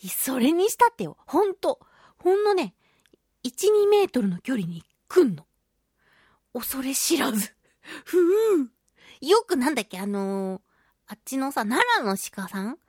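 A woman with a high-pitched voice hosts a casual solo radio talk. She tells stories in an animated way, sprinkling in sound-effect words and mock-polite turns of phrase. The sound is close and dry, with very little room on it. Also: a little slow, long pauses, breathy edge, no room sound. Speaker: radio show host.

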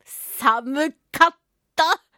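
A woman's voice: high-pitched, extremely slow, sharp tone, dry recording, clean studio sound. A woman hosting a solo radio talk show, telling stories casually and animatedly, with sound-effect words and playfully polite phrasing.

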